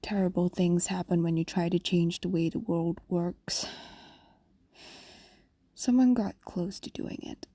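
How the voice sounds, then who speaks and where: Casting: actress for a doting, possessive fox spirit in fantasy ASMR drama; soft mellow tone, breathy coaxing whisper, soothing and ageless. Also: female voice, lowish voice, measured pace, long pauses, no echo. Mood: wistful, gentle. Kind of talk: intimate fantasy audio roleplay, ASMR.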